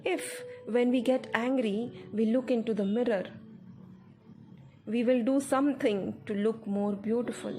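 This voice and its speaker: A woman narrating a scripted guided meditation in a measured, instructive way. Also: a little high, fairly even intonation, warm tone. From India. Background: background music.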